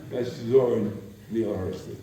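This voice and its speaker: An older man, a stage performer, telling stories and introductions live in a deliberate, theatrical way with pauses. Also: lowish voice, fairly even intonation, noisy background. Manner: deliberate, theatrical, pausing between phrases. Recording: very echoey room, distant microphone.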